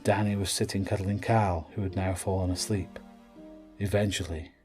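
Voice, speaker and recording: A man's voice: deep voice, fairly even intonation, normal speed, a little mellow, soft background music. A man giving dramatic scripted narration for an audio drama.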